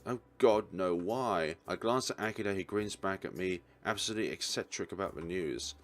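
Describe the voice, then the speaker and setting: A man's voice: low voice, normal speed, soft background music. A man reading story text aloud as a let's play host, with casual reactions and groaning asides.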